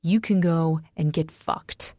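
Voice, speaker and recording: A woman's voice: lowish voice, moderate pace, no room sound, studio quality, close to an everyday voice. A woman narrator voicing a bitter, wounded, hot-tempered man in an audiobook, with intense delivery. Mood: icy, spiteful.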